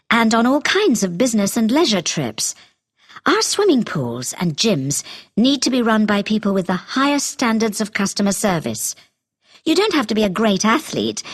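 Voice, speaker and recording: Female recruiter, brisk and businesslike, animated pitch movement, organised presentation for a listening exam. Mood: businesslike, informative. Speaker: company recruiter giving a talk. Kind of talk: scripted informational talk for a listening exam.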